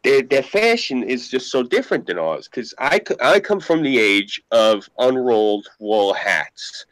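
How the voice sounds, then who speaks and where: A man in his late thirties, deep-voiced, ranting in casual chat with lots of swearing and laughter. His pitch swings widely as he gets worked up. The sound is dry and close, with no room around it. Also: measured pace, dry recording, rough recording. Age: late 30s. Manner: profane, animated ranting with frequent laughter. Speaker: podcast co-host.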